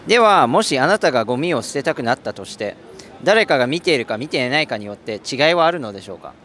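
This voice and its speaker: Lively polite male street interviewer. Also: mid-range voice, quick pace. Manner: polite, formal questioning. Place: city street outdoors.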